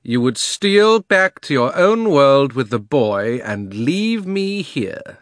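A man's voice: mid-range voice, sing-song, takes it slowly, no echo, studio quality, voice pushed up. A man voicing a fierce, imperious witch in an audiobook, commanding and threatening in manner. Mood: menacing scorn.

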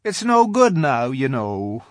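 A storybook narrator voicing a gloomy, guilt-ridden male fawn who sobs and wails in a slow, self-reproaching way. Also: medium pitch, very animated, no room sound, studio quality, pitched above the performer's own voice. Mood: sorrowful. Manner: sobbing, wailing, drawn-out delivery.

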